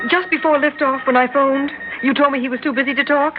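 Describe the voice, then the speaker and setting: An actress playing a worried, insistent astronaut's wife in an old radio drama, pleading and urgent. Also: female voice, high-pitched, steady tone, quick pace, over music, close to an everyday voice. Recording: dull old radio recording with hiss.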